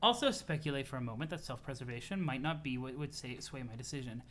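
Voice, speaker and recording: A man voicing a prim, composed female troll in a measured, wordy, dryly disapproving manner, reading a webcomic aloud. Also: mid-range voice, animated, a bit bright, pitched below the performer's own voice, close to an everyday voice. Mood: cool, grave. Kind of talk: comic read-aloud of a webcomic.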